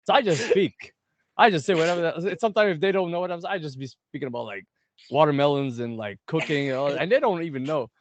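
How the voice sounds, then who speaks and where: Young man, fairly high voice. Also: fast talker, a little hiss or hum. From Egypt. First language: Arabic. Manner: laughs often, fillers like "like". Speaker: wrestler guest. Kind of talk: casual interview chat.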